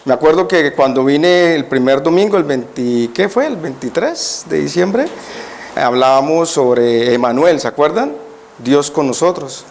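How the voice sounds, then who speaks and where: A man's voice: a little high, expressive, unhurried, darkish tone. A man preaching as a guest in a church, at first nervous, then emphatic, often putting questions to the congregation. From Colombia. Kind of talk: church sermon.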